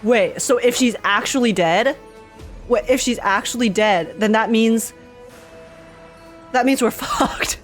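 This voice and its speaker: A woman with a fairly high voice gives casual live commentary on a game. She is insistent and halting, repeating herself as she goes, with faint music behind her. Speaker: streamer reacting to a game.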